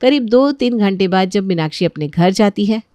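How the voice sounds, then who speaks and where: A woman's voice: mid-range voice, animated, moderate pace, mellow, dry recording. A woman narrating a scripted family story as its storyteller.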